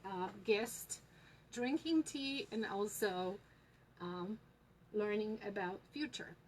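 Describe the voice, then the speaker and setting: A woman with a Polish accent hosting a live tutorial on tea leaf reading, talking slowly with many fillers and pauses to viewers. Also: medium pitch, a little mellow, slight background noise, rough recording. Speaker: tea shop host teaching tea leaf reading.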